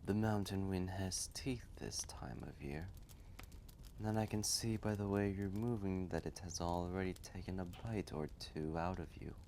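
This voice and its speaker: A man voicing an ancient, gentle, wise male dragon in a roleplay story, speaking in a hushed, measured, often breathy voice with a kindly manner. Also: slight background noise. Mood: gently concerned. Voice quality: low, gravelly, often breathy and whispery.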